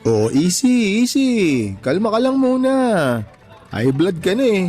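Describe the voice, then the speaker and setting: A man's voice: high-pitched, big pitch swings, a little slow, darkish tone, soft background music. An actor playing an easygoing married father in a radio drama, talking to his buddy in a chatty, reassuring, colloquial way. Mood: concerned, calming. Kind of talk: Tagalog radio drama acting.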